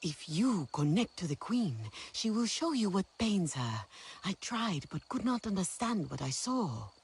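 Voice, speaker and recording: A woman playing an earnest, headstrong young alien warrior in a video game, with a husky voice. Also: medium pitch, sing-song, unhurried, full character voice. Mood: earnest resolve. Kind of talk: dramatic video game acting.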